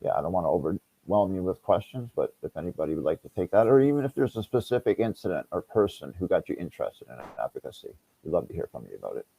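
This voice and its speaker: Male panel host, deep dark voice, polite, tentative, informal delivery. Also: very animated, fast talker, gravelly, hardly any pauses, breathy edge, no echo, poor audio. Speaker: panel moderator.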